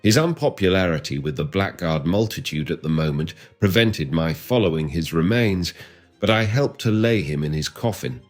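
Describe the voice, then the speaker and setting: A man voicing a smooth, sly middle-aged spy who talks in a wheedling, reasonable way. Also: deep voice, lively intonation, normal speed, faint music behind, pitched below the performer's own voice. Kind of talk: audiobook character reading.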